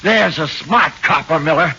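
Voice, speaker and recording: A streetwise American hoodlum in a 1940s radio play, cocky and defiant. His voice is forceful and slangy, on a dull old recording with faint noise. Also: on the high side, normal speed. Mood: defiant, agitated. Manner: slangy, tough-guy talk. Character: cocky male small-time crook, streetwise and defiant. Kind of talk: 1940s radio drama acting.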